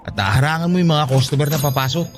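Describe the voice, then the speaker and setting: Man acting a desperate, high-voiced, fast-talking Filipino father. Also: steady tone, lightly acted. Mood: evasive, irritated. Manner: emotional, argumentative. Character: poor, desperate, defensive father struggling to feed his family. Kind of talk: Tagalog radio drama acting.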